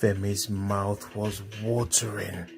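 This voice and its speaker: A man reads a children's story aloud as narrator. His voice sits fairly low and he takes his time over each phrase, with music running softly underneath. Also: little pitch movement, slow pace, vocal fry. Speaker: storybook reader.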